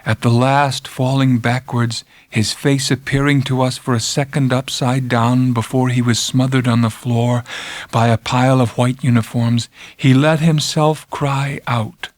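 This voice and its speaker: A man reading a literary first-person story as an audiobook narrator, dramatic, intense and deliberate, with hushed drops and swells. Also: medium pitch, flat delivery, no echo.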